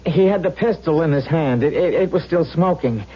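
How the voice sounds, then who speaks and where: An actor playing a frightened, stammering city cop in a 1970s radio drama. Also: male voice, on the high side, breathy edge. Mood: haunted, urgent. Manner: halting, stammering, insistent. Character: frightened, stammering male city cop confessing an impossible sighting. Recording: dull lo-fi 1970s radio recording.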